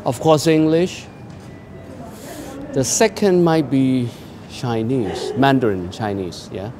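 A man's voice: mid-range voice, slow, warm tone. A middle-aged man from Thailand, answering street questions plainly. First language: Thai. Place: city street outdoors.

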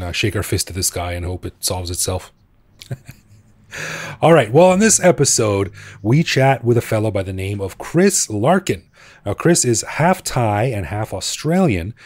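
Casual podcast host, North American man, lower voice, easygoing and jokey. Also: moderate pace. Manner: relaxed, joking, laughs often. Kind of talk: casual podcast chat and interview.

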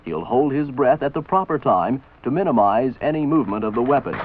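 An American man reading scripted instructional narration for a training film in a measured, authoritative, didactic manner. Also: mid-range voice, lively intonation, slight background noise. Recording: tinny band-limited old film soundtrack. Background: voice-over for an old military training film.

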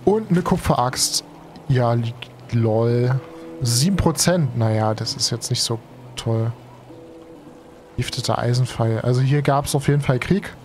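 A man's voice: medium pitch, measured pace, a little mellow. Brief: young male let's-player, under the weather, loose slangy chatter, headset mic, faint game music underneath. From Germany. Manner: chatty, slangy, thinks aloud with many fillers. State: has a cold and is tired. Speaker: gaming streamer. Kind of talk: casual let's play commentary. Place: home streaming room.

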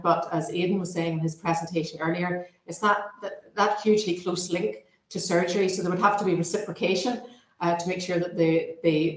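A middle-aged woman commenting formally as a manager in an online meeting. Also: fairly low pitch. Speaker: health service manager. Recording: echoey meeting room on video call.

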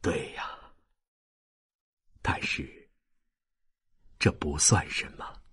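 A man voicing a dying old man in an audiobook, weak, breathy and halting, tender and resigned. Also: sharp tone. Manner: slow, halting. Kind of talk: dramatized audiobook reading.

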